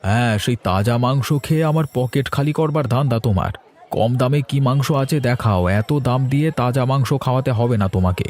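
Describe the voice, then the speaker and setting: A middle-aged village husband from an animated story, acted by a man, in a mid-range voice. He is tight-fisted and grumbles dismissively, with light music underneath. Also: mid-range voice, brisk, lightly acted. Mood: grumbling. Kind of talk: Bengali animated cartoon story dialogue.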